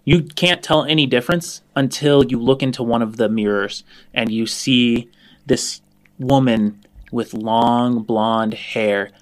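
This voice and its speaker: Informal male game master improvising narration. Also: mid-range voice. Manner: informal, descriptive, halting as he improvises. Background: music bed.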